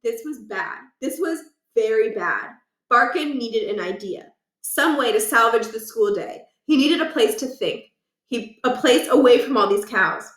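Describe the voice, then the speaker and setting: A woman reading a children's book aloud in an animated storytelling style. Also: on the high side, measured pace, reverberant, far from the mic, poor audio.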